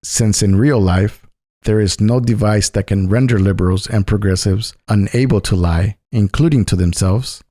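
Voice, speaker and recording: A man's voice: lowish voice, normal speed, warm tone. A man reading a scripted political polemic as narration. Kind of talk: scripted narration, polemical essay read aloud.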